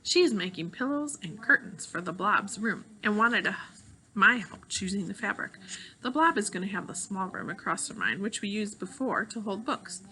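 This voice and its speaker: A woman reading a children's novel aloud in an expressive storytelling style. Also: mid-range voice, normal speed, a little hiss or hum, poor audio. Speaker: audiobook reader of a children's novel.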